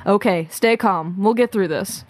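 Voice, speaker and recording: Woman as take-charge schoolgirl heroine, blunt and defiant, medium pitch, dry close sound. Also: a little slow, dry recording, natural voice. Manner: commanding, blunt. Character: bossy, defiant schoolgirl superhero sister.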